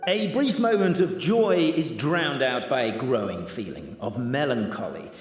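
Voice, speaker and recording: An actor playing a grandiose, melodramatic and playful storyteller narrating a video game. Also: male voice, mid-range voice, animated, unhurried, soft background music, lightly acted. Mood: dramatic. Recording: long reverb on voice.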